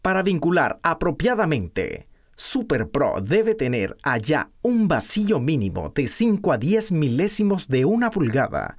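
Narrator with widely swinging pitch. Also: very animated, measured pace. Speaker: instructional video narrator. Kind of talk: scripted product instruction narration.

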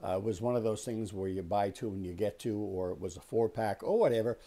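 A man in his sixties giving a casual, unhurried explanatory tour as the host, talking conversationally in a small indoor workshop. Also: on the low side, mellow. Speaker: host of a woodworking shop tour.

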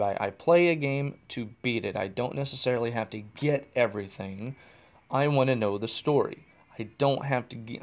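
An American man hosting a podcast alone, chatting casually in a rambling way and often correcting himself mid-sentence, recorded while driving. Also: mid-range voice. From United States. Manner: rambling, restarts and self-corrections. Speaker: podcast host. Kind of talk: casual solo chat. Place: in a car, driving.

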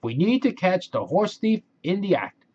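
A man reads as a confident young cartoon gang leader. He is decisive and lively, his pitch a little raised, heard on a slightly dull recording. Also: moderate pace, husky, exaggerated voice. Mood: determined.

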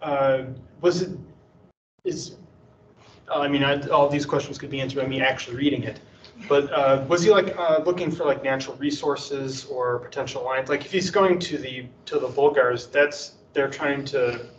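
A man's voice: medium pitch, normal speed. Distant man asking rambling questions. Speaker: audience member asking questions. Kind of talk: academic question and answer. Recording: distant, echoey, dull, faint hiss.

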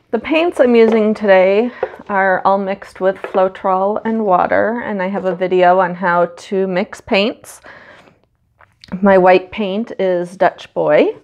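Brief: stuffy-voiced woman with a cold, unhurried rambling craft-video chat, slightly dull recording. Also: mid-range voice. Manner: chatty and rambling, many ums. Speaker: acrylic pour artist. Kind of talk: casual how-to narration while painting. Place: home studio, indoors.

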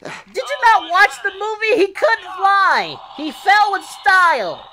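A woman reacts casually as a video host. Her voice is very high and bright, with a highly animated swing in pitch. She comments in short, informal asides. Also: slow pace.

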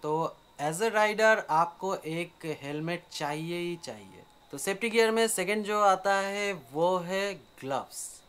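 A man's voice: high voice, slow pace, few pauses, a bit bright, clear, resonant tone. A young man whose first language is Bengali, explaining step by step to camera as a motorcycle vlogger, with frequent hesitant fillers. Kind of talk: casual talk to camera. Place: outdoors in a yard, then indoors in a small room.

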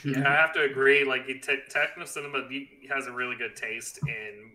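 Bright, casual man co-hosting a panel. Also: mid-range voice, steady tone, moderate pace, rough recording. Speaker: panel co-host. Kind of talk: casual chat.

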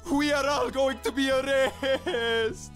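A high, panicky game character. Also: male voice, fairly even intonation, takes it slowly, fairly bright tone, faint music behind, heavily acted. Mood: panicked. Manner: fretful, repetitive. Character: panicky male game character, doom-saying.

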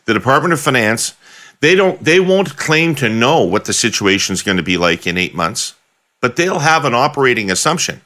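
A man's voice: medium pitch, sing-song, moderate pace, pushed and bright. A middle-aged man hosting a podcast interview, pressing his guest with probing follow-up questions over a video call from a home office. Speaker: podcast host. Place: home office with bookshelves, indoors.

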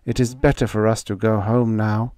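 A man doing a giant robot with a steady, formal way of speaking. The voice is earnest and plain, a little raised from the reader's own. The sound is close and dry. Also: lowish voice, moderate pace, no room sound, lightly acted.

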